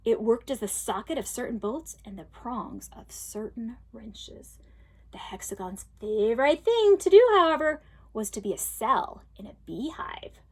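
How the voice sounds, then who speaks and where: A woman reading a children's storybook aloud expressively, with deliberate, emphatic phrasing, like a teacher for pupils. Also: fairly high pitch, animated, slightly rough.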